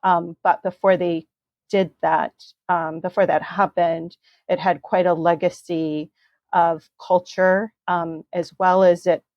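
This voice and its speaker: A woman author from the United States talks in a measured, thoughtful way, often pausing with ums. Her voice sits fairly low. The sound is dry and slightly muffled, like a video call. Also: darkish tone, no echo. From USA. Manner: measured, thoughtful, with frequent ums and you-knows. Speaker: author guest. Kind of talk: interview, explaining history.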